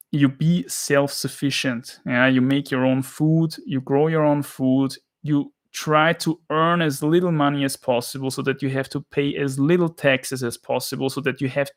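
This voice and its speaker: Young man musing with many fillers. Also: medium pitch. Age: young adult. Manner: opinionated, searching for words with fillers and restarts. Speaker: podcast host. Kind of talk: casual monologue. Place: small dim room at home, guitar on wall. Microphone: studio mic on boom arm near face.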